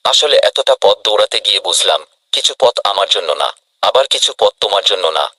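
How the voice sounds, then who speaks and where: A man voicing a helpful, brisk rabbit in a children's cartoon, on a noisy track. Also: lowish voice, expressive, pushed and bright, dry recording. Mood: earnest, relieved.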